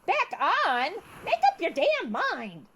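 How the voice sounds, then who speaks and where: A woman's voice: extremely high pitch, wildly swooping pitch, slow pace, fairly bright tone, heavily acted. A woman playing a flustered, indignant, ditzy young woman calling out defensively in a comedic audio drama.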